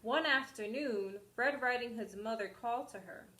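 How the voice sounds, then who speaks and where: A woman reading a children's story aloud as an expressive storyteller. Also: a little high, moderate pace, roomy sound, a little hiss or hum.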